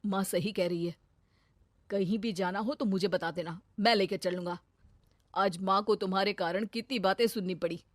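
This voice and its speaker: A woman voicing a young village man, a proud son and husband in an animated story, recorded dry. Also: medium pitch, normal speed, no echo, lightly acted. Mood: stern.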